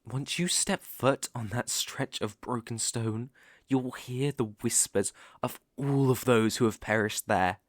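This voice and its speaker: Slow, sinister British male werewolf, acted by a man. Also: mid-range voice, no room sound, clean studio sound, lightly acted. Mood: ominous. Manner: theatrical, slow and teasing, drops into whispers. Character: sinister, smooth-talking male werewolf luring a stranger. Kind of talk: ASMR roleplay audio acting.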